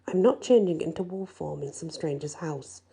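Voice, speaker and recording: Woman acting out storybook werewolf heroine, young, wry, firm, slightly creaky voice. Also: low voice, moderate pace, mellow, full character voice. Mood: stubborn.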